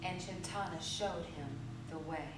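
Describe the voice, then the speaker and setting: A woman's voice: fairly low pitch, fairly even intonation, a little slow. A woman reading scripted literary narration in a theatrical style, live on stage. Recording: roomy live theatre, distant, very noisy.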